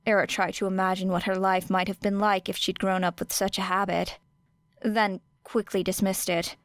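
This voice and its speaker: Woman narrator for a podfic, even mid pitch, dry close sound. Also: no echo. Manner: measured, expressive storytelling. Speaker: podfic reader. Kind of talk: audiobook narration of fan fiction.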